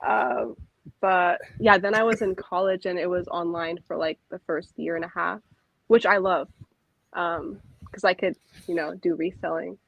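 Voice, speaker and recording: A woman in her twenties talking as a guest in a relaxed, laid-back way, laughing easily. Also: lowish voice, normal speed, gravelly, dry recording. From USA. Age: 20s. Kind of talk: casual chat. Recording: dull lo-fi dry sound.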